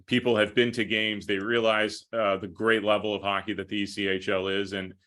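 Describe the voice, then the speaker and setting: An American man answering interview questions conversationally as a guest broadcaster, with measured, hesitant phrasing. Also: lowish voice, flat delivery, fairly bright tone. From Minnesota, United States. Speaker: podcast guest, hockey play-by-play broadcaster.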